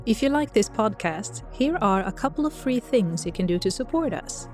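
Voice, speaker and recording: A woman's voice: on the high side, lively intonation, normal speed, a little mellow, soft background music. A woman giving scripted narration as the storyteller of an audio drama.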